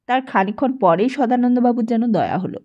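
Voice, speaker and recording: A woman's voice: fairly high pitch, fairly quick. Woman narrating a story, dull lo-fi recording. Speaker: audiobook narrator. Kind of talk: expressive scripted story narration. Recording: dull lo-fi sound.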